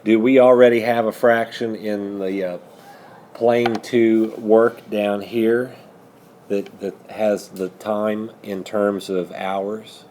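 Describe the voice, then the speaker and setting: A man's voice: fairly low pitch, flat delivery, slow pace, darkish tone, slight background noise. A man working methodically through a math lesson as a teacher, patiently prompting students with questions. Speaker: high school algebra teacher.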